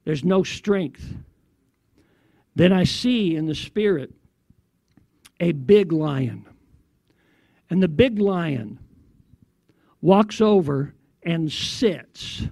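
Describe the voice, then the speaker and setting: Guy preaching a prophetic vision, high voice that swings up and down a lot, short phrases w pauses. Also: animated, gravelly, a little mellow, no echo. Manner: declamatory, slow short phrases with deliberate pauses. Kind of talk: prophetic sermon narration.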